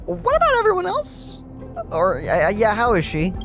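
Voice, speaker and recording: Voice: medium pitch, huge pitch swings, moderate pace, a bit bright, soft background music. A let's play co-commentator chatting casually about a game in an offhand, wry way.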